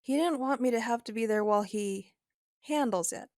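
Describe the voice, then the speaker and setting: A woman's voice: fairly high pitch, moderate pace, a little mellow, no room sound, clean studio sound, lightly acted. An actress playing a loyal young woman hacker, candid and conversational, a little nervous. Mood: wary, candid. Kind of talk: audio drama acting.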